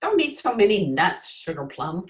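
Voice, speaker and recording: A woman's voice: lowish voice, big pitch swings, normal speed. A woman playing a vain, ambitious companion of a dictator, blunt and coquettish, in an old-time radio comedy. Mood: coy, curious. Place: home room, recorded over video call.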